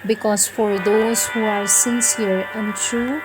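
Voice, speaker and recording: A woman reciting spiritual prose devotionally, in a solemn, declamatory manner with measured phrasing and pauses. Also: medium pitch, steady tone, music in the background, low-quality recording. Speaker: reader of spiritual writings.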